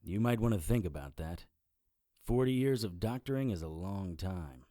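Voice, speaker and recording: A wry male investigator tells his case like a noir audio drama. His voice is low and dark, recorded dry, with a deadpan delivery that stays unimpressed by the strange. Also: normal speed, no room sound. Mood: probing. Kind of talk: first-person detective audio drama narration.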